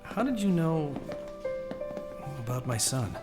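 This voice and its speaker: Hesitant busy dad, lively pitch. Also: male voice, slow pace, over loud music. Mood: puzzled. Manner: hesitant, flustered. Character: busy, hesitant modern father, puzzled guest. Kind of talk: audio drama acting.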